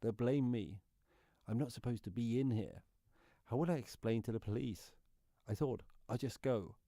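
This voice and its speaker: A man's voice: medium pitch, normal speed, dark tone, dry recording, clean studio sound, lightly acted. A man playing an anxious, guilt-ridden neighbour confessing in a radio play monologue. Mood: anxious, shaken.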